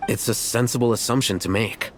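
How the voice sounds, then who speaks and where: A man playing a shrewd, cautious desert traveller in a video game, measured and analytical in the way he talks. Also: mid-range voice, fairly even intonation, a little hiss or hum, close to an everyday voice. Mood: composed.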